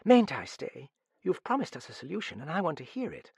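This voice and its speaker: A man's voice: a little high, sing-song, fairly quick, creaky, a little mellow, husky, no room sound, clean studio sound, voice pushed up, full character voice. A man voicing a slight young woman in a mystery story, playful and stubbornly insistent. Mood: playful pleading. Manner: light, insistent.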